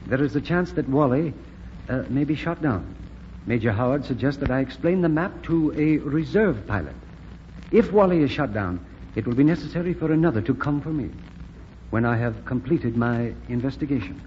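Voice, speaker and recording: An actor playing an air force lieutenant, speaking courteous English in a 1940s radio drama style. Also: male voice, medium pitch, lively intonation, normal speed, natural voice. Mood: earnest, formal. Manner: formal, precise, courteous English. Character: earnest Chinese air force lieutenant, clowning as a spy. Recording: muffled noisy old radio recording, reverberant.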